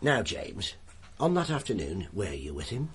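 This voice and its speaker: An actor playing a gruff, wry police inspector in a radio drama, speaking in a deliberate, sardonic, commanding way. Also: male voice, deep voice, big pitch swings, a little hiss or hum. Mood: stern, probing.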